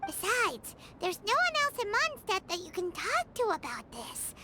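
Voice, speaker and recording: A woman voicing a small, excitable fairy-like girl companion in a video game, with a chirpy, childlike, chatty manner. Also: very high-pitched, normal speed, heavy background noise, full character voice. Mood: earnest, insistent. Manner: chirpy, refers to self in third person. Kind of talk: scripted video game character acting. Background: music.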